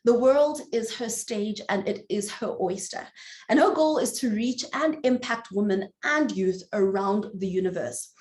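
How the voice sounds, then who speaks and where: A woman's voice: mid-range voice, animated, measured pace, darkish tone, echoey room. A woman moderating a panel, who introduces her guest in an admiring, slightly rambling way. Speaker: moderator, host.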